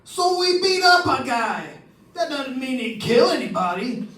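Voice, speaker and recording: A man's voice: extremely high pitch, very animated, unhurried, fairly bright tone, heavily acted. A man playing a defensive, exasperated supper club owner in an old-time radio detective drama. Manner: insistent, exasperated. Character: defensive male supper club owner protecting his uncle. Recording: echoey room, distant mic.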